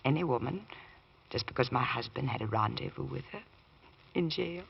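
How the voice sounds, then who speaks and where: An actress playing a devoted, gently firm wife in 1940s radio drama style, with a breathy, creaky voice. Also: female voice, extremely low pitch, lively intonation, normal speed, a little hiss or hum, exaggerated voice. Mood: tender, resolute. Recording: dull, muffled old radio recording.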